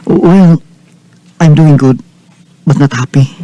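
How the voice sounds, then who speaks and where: A man's voice: fairly high pitch, slow pace, warm tone, no echo. A lovesick, pleading Cebuano man. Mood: polite, hesitant. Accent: Cebuano Filipino. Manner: pleading, emotional. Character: lovesick earnest man pining for his former housemaid. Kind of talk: radio drama acting.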